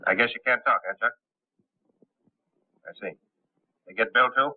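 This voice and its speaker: A man's voice: medium pitch, moderate pace, close to an everyday voice. Man playing a bossy gang chief, slangy and curt, thin phone-like old film sound. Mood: commanding. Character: gruff commanding male gangster boss. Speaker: gang boss Brossett. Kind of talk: 1930s film acting.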